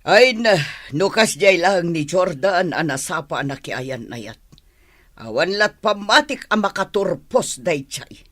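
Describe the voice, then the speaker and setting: A woman's voice: lowish voice, lively intonation, slow, fairly bright tone, heavily acted. A woman with an Ilocano accent playing a gossipy, cautioning middle-aged mother in an audio drama. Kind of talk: Filipino audio drama acting.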